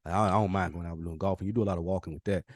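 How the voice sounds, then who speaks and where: A man co-hosting a podcast in casual chat, blunt and profane, getting animated when riled up. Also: low-pitched, rapid-fire, dry recording, clean studio sound. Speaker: podcast co-host.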